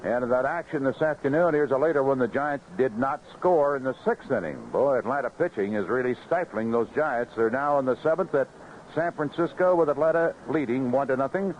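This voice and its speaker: An American man giving a seventies baseball radio report in a measured, conversational way. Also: medium pitch. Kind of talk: 1970s radio scoreboard report and sponsor reads. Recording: dull, faintly noisy 1970s AM radio.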